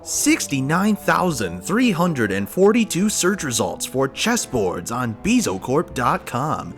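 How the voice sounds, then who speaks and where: A man's voice: mid-range voice, wildly swooping pitch, slow, faint music behind. Officious security droid acted by a man. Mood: officious, impersonal. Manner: officious, formulaic announcing. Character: officious automated security droid barking pandemic rules and ads. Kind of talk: scripted audio drama.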